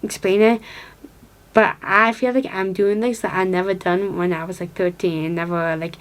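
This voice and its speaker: A young American woman in her twenties talks casually to her viewers, rambling and piling up fillers as she loses and finds her thread. Her voice sits at an ordinary middle pitch, and she glances down at notes as she goes. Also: fairly even intonation, moderate pace. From upstate New York, United States. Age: 20s. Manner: rambling, many fillers and restarts; reads from notes, looking down. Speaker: vlogger. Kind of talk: casual talk to camera.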